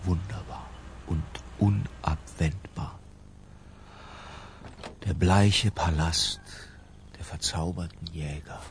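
An actor playing an obsessed, cultured middle-aged narrator in a literary radio drama, lyrical and intimate. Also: male voice, sits low, fairly even intonation, slow, vocal fry, pauses often, slight background noise, heavily acted. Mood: brooding, lyrical.

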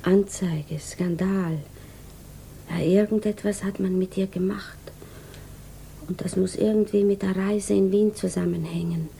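An actress in a 1950s radio drama playing an anxious, brooding woman who speaks in a hushed, introspective manner. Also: mid-range voice, fairly even intonation, measured pace, vocal fry. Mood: troubled suspicion. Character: anxious, brooding provincial woman, inwardly troubled. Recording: noisy 1950s radio recording.

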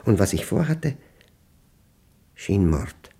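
Older male for radio drama monologue: solitary brooder, dark mellow tone, husky, halting and deliberate. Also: on the low side, big pitch swings, full character voice. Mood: troubled, intense. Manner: halting, deliberate, introspective phrasing. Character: aging, solitary man brooding over a humming machine.

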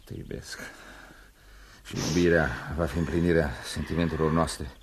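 A man's voice: a bass voice, fairly even intonation, a little hiss or hum. An actor playing a proud, determined young man in a Romanian radio play, with a firm, restrained intensity. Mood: possessive, resolute. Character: proud, determined young man, possessive lover and ambitious employee. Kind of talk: Romanian radio theatre acting.

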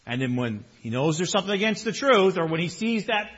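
Man pastor, somewhat high and husky, strained voice, conversational sermon, muffled recording. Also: sing-song, fairly quick. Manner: conversational preaching, swings between soft and loud. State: slightly strained voice.

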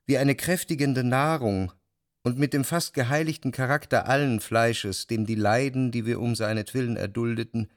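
An actor playing a reflective, melancholic man narrating his memories in a literary radio drama, measured and introspective. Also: medium pitch, fairly even intonation, no room sound, studio quality, natural voice. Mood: intimate, wistful. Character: reflective, melancholic male narrator recalling his past life.

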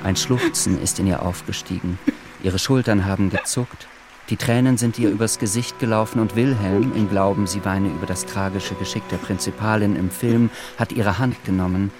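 A man narrating a radio play, reading literary prose in a measured storytelling manner. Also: on the low side, steady tone, natural voice. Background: clear music.

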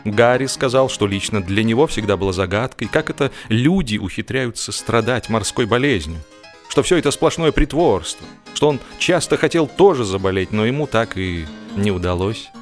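A man's voice: lowish voice, animated, moderate pace, soft background music. A man narrating a humorous story expressively, in an ironic, mock-serious manner.

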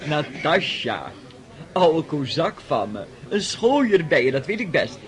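An actor in a radio drama playing a blunt, imperious society dame who teases and scolds. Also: male voice, on the high side, sing-song, takes it slowly. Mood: boisterous teasing.

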